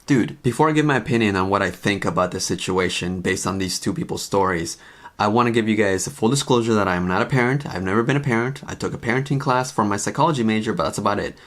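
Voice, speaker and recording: A man's voice: on the low side, quick pace. A young man giving casual opinion commentary in an emphatic, incredulous and opinionated way. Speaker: commentary video host.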